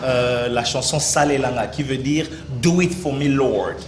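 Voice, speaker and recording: A gospel singer from Congo answering TV interview questions, hesitant, with many fillers and restarts. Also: male voice, mid-range voice, measured pace, echoey room, a little hiss or hum.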